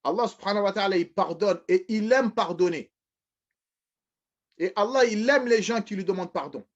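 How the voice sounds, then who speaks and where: A man's voice: high-pitched, normal speed. A man giving a religious lecture, repeating phrases, often restarting, and addressing his listeners as brothers and sisters. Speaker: preacher. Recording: dull lo-fi recording.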